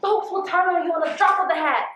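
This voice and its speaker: A woman's voice: very high-pitched, fast, a bit bright. An American woman acting in a stage play as a guarded, suspicious postal carrier, speaking colloquially with a streetwise, emphatic delivery. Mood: suspicious, insistent. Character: guarded, suspicious, hard-working single female postal carrier. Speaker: stage actor. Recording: roomy stage sound.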